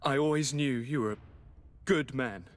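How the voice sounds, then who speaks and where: A man's voice: mid-range voice, normal speed, lightly acted. An actor playing a young nobleman governor in a fantasy game, dramatic and formal, with florid phrasing. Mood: relieved.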